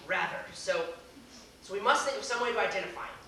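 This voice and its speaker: A bright-voiced, flustered young man acted by a woman in a stage comedy, heard far off in a very echoey hall. He speaks formally and haltingly, growing exasperated and indignant. There is faint noise on the recording. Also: mid-range voice, vocal fry. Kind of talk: amateur one-act comedy stage acting. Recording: distant, echoey, noisy stage video.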